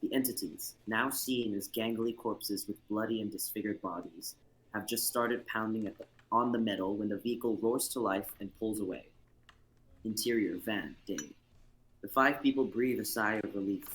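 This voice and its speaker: A man's voice: lowish voice, normal speed, fairly bright tone, poor audio. A young man narrating a screenplay as host of an amateur livestreamed reading. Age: young adult.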